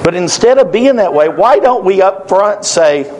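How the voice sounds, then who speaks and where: A man in his forties with a drawl delivers a sermon in a church. His voice sits a little high for a man, and he speaks in earnest, emphatic, repeated phrases. A faint hiss runs under the recording. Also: lively intonation, normal speed, a little mellow, low-quality recording. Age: 40s. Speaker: preacher.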